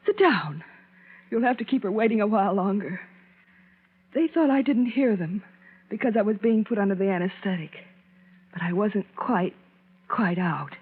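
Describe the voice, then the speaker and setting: A young woman playing a bitter, resolute wronged wife in a 1940s radio drama, deliberate and intense. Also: medium pitch, expressive, husky. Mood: grave resolve. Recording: tinny, narrow vintage radio recording.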